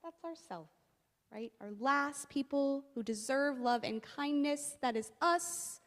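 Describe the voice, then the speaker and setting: Woman, youth leader, bright and playful, somewhat high voice, big church reverb. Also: takes it slowly. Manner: upbeat, playful, addressing children. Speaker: children and youth program leader. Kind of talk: leading a children's time in a service. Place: church sanctuary.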